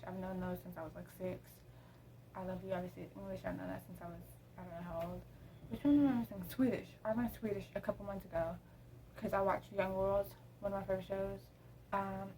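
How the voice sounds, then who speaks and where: A woman's voice: medium pitch, a little mellow, slight background noise, low-quality recording. A teenage girl vlogging at home, chatting casually to her camera, rambling with lots of ums. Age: teens. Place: room at home.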